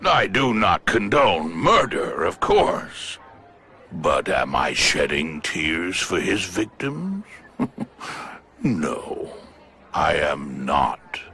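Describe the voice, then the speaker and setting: A man playing a smug, contemptuous middle-aged tycoon in a video game, speaking in a pompous, formal, deliberate manner. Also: deep voice, huge pitch swings, vocal fry, sharp tone, slight background noise, exaggerated voice. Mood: coldly smug. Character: smug, contemptuous male crime-fighting tycoon with menacing self-importance. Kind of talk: dramatic video game cutscene acting.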